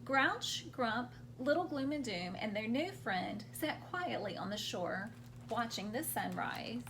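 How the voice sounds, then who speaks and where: A woman's voice: a little high, animated, slow pace, fairly bright tone, a little hiss or hum. A woman hosting a children's storytime, reading picture books aloud expressively and explaining things simply for young listeners.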